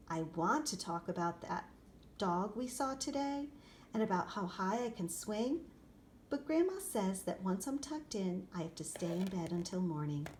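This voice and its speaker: A woman reading a children's picture book aloud expressively, in short, deliberate phrases. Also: medium pitch, a little mellow. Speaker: storybook reader. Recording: clear background noise, room ambience.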